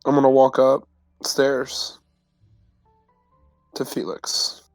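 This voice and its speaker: Man, tabletop player, casual, smooth voice, little pitch movement, dry room, music behind. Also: monotone, very slow, dry recording. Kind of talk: casual in-game chat.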